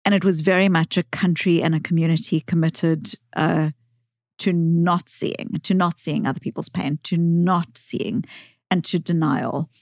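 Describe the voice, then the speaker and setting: A woman's voice: on the low side, fairly even intonation, unhurried, darkish tone, dry recording, clean studio sound. A woman from South Africa with a South African accent, a psychologist guest speaking thoughtfully and sometimes stumbling over her words. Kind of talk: casual podcast conversation.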